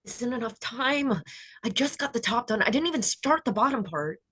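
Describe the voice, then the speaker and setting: A woman's voice: fairly high pitch, normal speed, pushed and bright, husky. A woman playing a combative, cutthroat young beauty queen in a screenplay table read, sarcastic and confrontational. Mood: exasperated.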